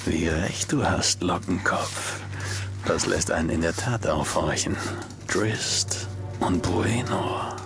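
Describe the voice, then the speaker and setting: An actor playing a cold, mocking male assassin in a radio drama, speaking slowly and threateningly. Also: deep voice, vocal fry, over music, full character voice. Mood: menacing. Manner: slow, sneering and threatening. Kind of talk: German radio drama acting.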